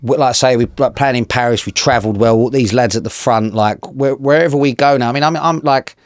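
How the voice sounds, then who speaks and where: Laid-back musician, swears casually. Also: male voice, medium pitch, fast, dry recording, clean studio sound. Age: 30s. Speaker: musician guest. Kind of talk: casual interview chat. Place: pub.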